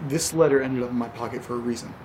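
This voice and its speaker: A man's voice: mid-range voice, very fast, noisy background, natural voice. A man acting a level-headed, questioning young man in a horror audio drama, conversational and analytical.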